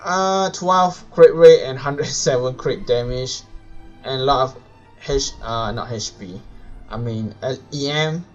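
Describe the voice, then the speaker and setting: Slow-talking man live streaming a game. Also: medium pitch, darkish tone, lo-fi sound, soft background music. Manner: hesitant, rambling, self-correcting. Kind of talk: casual live stream commentary.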